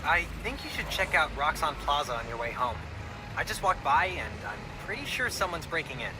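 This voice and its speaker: An eager young best friend chatters excitedly over a phone call. His voice is high and lively, with loud street noise behind it. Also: brisk.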